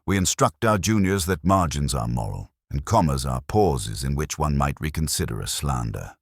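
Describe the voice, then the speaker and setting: A man's voice: very deep voice, animated, moderate pace, no echo, studio quality, exaggerated voice. A man playing a cautious, wryly pompous small-town solicitor in an audio drama, formal and fussily precise. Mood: wry, discreet. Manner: formal, fussy, precise wording.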